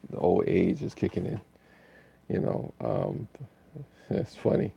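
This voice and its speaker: A man's voice: sits low, lively intonation, slow, dark tone, muffled recording. A tired man, hosting a laid-back live stream and rambling through chat comments. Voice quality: raspy.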